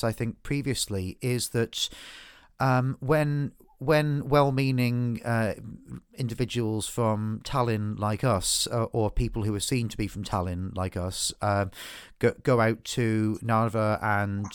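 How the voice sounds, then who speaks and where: A man hosting a political podcast, talking in a halting way with many restarts and fillers. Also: mid-range voice, smooth, no room sound, clean studio sound. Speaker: podcast host. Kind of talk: political discussion.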